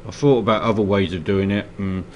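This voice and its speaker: A man's voice: fairly low pitch, fairly quick, a little hiss or hum. A man from Scotland with a slight cold, casually talking through a miniature painting tutorial at his hobby desk, hesitant with many fillers. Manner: relaxed, hesitant, many ums and uhs.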